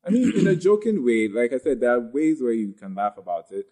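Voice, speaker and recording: A man's voice: mid-range voice, big pitch swings, a little fast, warm tone. A man from Ghana in a casual discussion, talking earnestly and restarting often as he searches for words.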